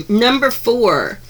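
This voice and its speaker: A woman chatting through a craft unboxing in her home craft room, gushing over each piece with frequent ums and restarts, her voice a little hoarse from being under the weather. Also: on the high side. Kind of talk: casual unboxing narration.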